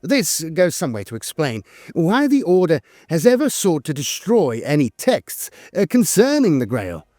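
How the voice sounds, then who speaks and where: Male narrator, animated intonation, solemn formal delivery, scripted lore reading, very dry recording. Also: on the high side, no echo.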